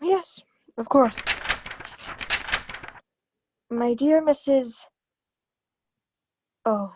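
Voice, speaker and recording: A woman's voice: high-pitched, very slow, very noisy. A woman playing an anxious, secretive wife in a radio drama, hesitant and placating as she speaks. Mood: placating, uneasy. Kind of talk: old-time radio drama acting.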